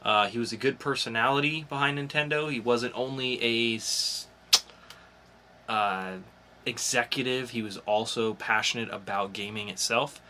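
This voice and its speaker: A man's voice: mid-range voice, fairly even intonation, moderate pace, bright, forceful tone. A man hosting a podcast, talking casually about geek news in an informal, explanatory way with some swearing. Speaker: podcast host.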